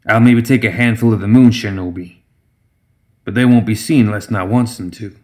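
Man voicing a slow, rustic old gremlin brewmaster. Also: lowish voice, steady tone, darkish tone, close to an everyday voice. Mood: easygoing. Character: ancient gremlin brewmaster, proud, folksy, gruff moonshiner.

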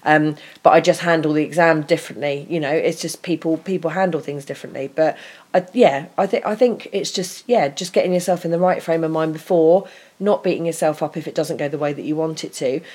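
Woman, middle-aged, lowish voice, chatty podcast co-host, laughs a lot. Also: fairly quick, darkish tone, husky. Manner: chatty, laughs often, many restarts. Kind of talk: casual podcast chat.

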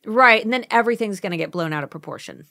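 A woman's voice: mid-range voice, expressive, pushed and bright. Chatty young woman losing her voice. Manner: chatty, quick to laugh, opinionated. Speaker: podcast co-host. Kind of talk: casual chat.

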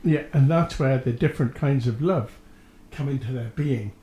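A man hosting a religious radio programme, speaking reflectively in measured phrases, with a roomy echo on his voice. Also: mid-range voice, warm tone. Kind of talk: reflective faith discussion. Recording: roomy, echoing sound.

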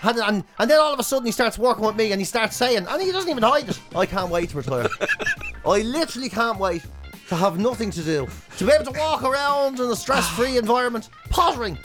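Middle-aged Irish male host, high-pitched, cheeky rambling banter, acts out little imagined exchanges. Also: animated, fast, a bit bright, soft background music. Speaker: podcast and radio host. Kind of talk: casual comic banter.

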